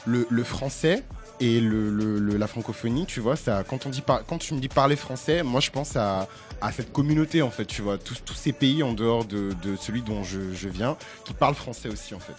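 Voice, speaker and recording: A man from Benin whose first language is not French, talking in a halting, restarting way in a casual panel discussion. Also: mid-range voice, soft background music. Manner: halting, many filler restarts. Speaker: podcast co-host.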